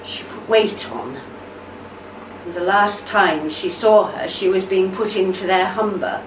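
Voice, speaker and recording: A woman playing a devoted, steadfast older army wife in a radio play, with a measured, tender delivery. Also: mid-range voice, lightly acted. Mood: measured, careful. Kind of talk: amateur radio play acting. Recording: roomy, distant mic, faint noise.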